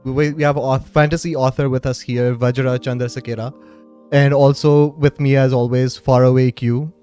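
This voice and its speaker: A man's voice: medium pitch, little pitch movement, normal speed, warm tone, clear, resonant tone, soft background music. A man hosting a podcast, talking casually in wordy, loosely rambling phrases. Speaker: podcast host. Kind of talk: casual interview talk.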